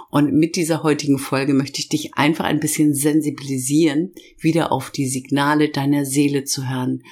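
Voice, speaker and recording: A middle-aged woman hosts her own self-help podcast, and a cold has left her voice hoarse. She talks straight to the listener, slowing down to lean on each feeling she names. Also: sits low, darkish tone. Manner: slow, emphatic listing of feelings. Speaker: podcast host and inner-child coach. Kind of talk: warm guided podcast talk.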